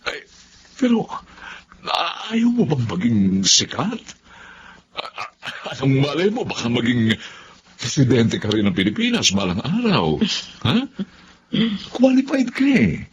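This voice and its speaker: A man playing a pushy, flattering politician in a Filipino drama, animated and wheedling, with hesitant stammers. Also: medium pitch, unhurried, pauses often. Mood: coaxing. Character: pushy, flattering male politician who turns scornful. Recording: muffled lo-fi drama recording with background noise.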